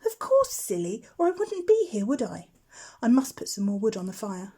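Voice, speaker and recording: A woman's voice: medium pitch, very animated, moderate pace, husky. A woman voicing a cheerful, teasing older boy, a matter-of-fact scout in a storybook. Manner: breezy, exclaiming, gently mocking.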